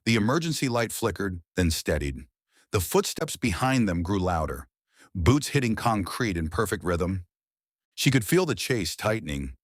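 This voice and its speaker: A man reading a psychological thriller audiobook with tense, dramatic pauses. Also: low-pitched, no room sound, clean studio sound. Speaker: audiobook narrator. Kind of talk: scripted thriller narration.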